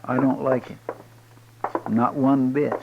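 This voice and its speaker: Loyal simple old west helper, creaky folksy voice, mid pitch, hissy old radio drama. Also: male voice, little pitch movement, slow. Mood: worried. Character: loyal, simple, anxious western deputy sidekick. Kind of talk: 1950s radio western acting. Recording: muffled noisy old radio recording.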